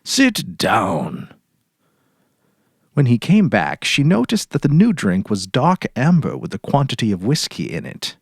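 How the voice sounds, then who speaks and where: A man narrating a literary short story dramatically, in a measured, deliberate way. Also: medium pitch, huge pitch swings, darkish tone, no room sound, studio quality.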